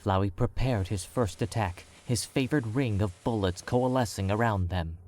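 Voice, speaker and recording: A male narrator with a very low, deep voice reads the story in a calm and measured way. His tone stays steady and controlled as he carries the prose.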